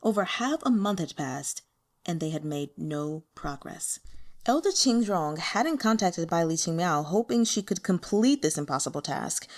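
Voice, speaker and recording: Female audiobook narrator for fantasy fiction: mid-pitched, very lively intonation, expressive scripted read.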